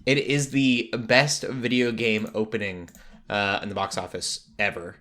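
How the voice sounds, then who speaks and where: Youngish guy hosting a podcast, kinda low voice, just chatting about movies. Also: flat delivery, measured pace, sharp tone. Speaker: podcast host. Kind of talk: casual movie discussion.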